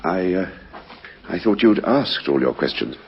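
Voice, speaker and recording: Cornered radio-drama suspect: very raspy, stammering, pitch swinging with nerves. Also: male voice, sing-song, unhurried, exaggerated voice. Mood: uneasy politeness. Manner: stammering, flustered, defensive. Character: nervous, guilty male suspect under police questioning. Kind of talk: old radio crime drama acting. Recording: muffled vintage radio recording.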